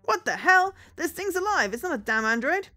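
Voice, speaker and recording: A woman's voice: very high-pitched, sing-song, brisk, sharp tone, voice pushed up, exaggerated voice. A woman voicing a panicky, aggressive male android mechanic while reading a visual novel aloud. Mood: horrified.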